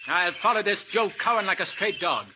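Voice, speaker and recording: High-voiced stray-dog henchman. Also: lively intonation, normal speed, sharp tone, exaggerated voice. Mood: bitter, servile. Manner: florid, broken-English phrasing. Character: crippled, servile male ex-diver with wounded dignity. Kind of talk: 1950s radio drama acting. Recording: noisy old radio recording.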